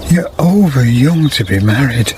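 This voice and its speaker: A man acting a gentle older weaver in a radio play. He speaks tenderly and simply, like a devoted rustic father, at a middling pitch. Birdsong and clear ambient noise sit behind him. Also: animated, unhurried, close to an everyday voice. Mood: tender, resigned. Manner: tender, plain-spoken rustic phrasing.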